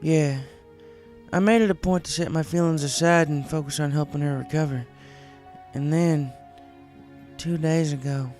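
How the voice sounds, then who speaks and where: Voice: medium pitch, fairly even intonation, normal speed, long pauses, a little mellow, faint music behind, voice pushed up, close to an everyday voice. A performer voicing an earnest, troubled young female farm pony in a story reading. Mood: confessing, tender.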